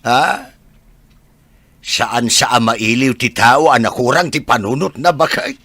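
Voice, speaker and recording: A man's voice: mid-range voice, animated, a little slow, pushed and bright, breathy edge, no echo, exaggerated voice. A man playing a blunt, wry elderly village grandfather in a radio drama, with an Ilocano accent. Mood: dismissive. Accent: Ilocano, northern Philippines.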